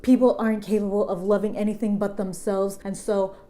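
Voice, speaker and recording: A woman's voice: mid-range voice, level tone, brisk, mellow, close to an everyday voice. Woman playing an aggrieved, melodramatic male monster. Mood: wounded, bitter. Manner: melodramatic, pleading, slangy. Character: aggrieved, self-pitying male monster, lonely and slangy.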